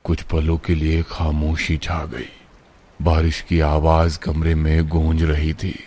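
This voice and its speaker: A man's voice: a bass voice, completely flat delivery, slow pace, dark tone. A man narrating a scripted horror story in a dramatic, suspenseful way.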